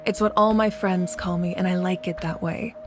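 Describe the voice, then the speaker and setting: A woman playing a composed, resolute female warrior leader in a video game, speaking in a measured, earnest and authoritative way. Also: fairly low pitch, fairly even intonation, lightly acted. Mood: reassuring. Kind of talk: dramatic video game voice acting. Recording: game music behind the voice.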